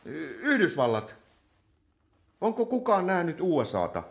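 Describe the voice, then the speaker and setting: An actor performs an exasperated chairperson in a comic radio play. The delivery is teacherly and scolding, with hesitant stumbles and a very lively pitch that rises and drops. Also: gravelly. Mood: puzzled, businesslike.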